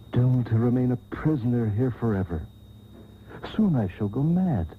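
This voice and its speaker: An actor playing a vain, self-pitying rich heir held captive, declaiming melodramatically in a parody radio soap. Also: male voice, medium pitch, lightly acted. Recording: tinny, hissy taped radio broadcast.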